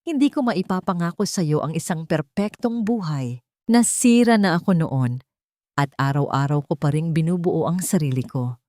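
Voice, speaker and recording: A woman's voice: low-pitched, darkish tone, no room sound, studio quality. A woman storyteller voicing a devoted, earnest widowed father in an audio drama, with a tender, solemn delivery. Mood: earnest, loving.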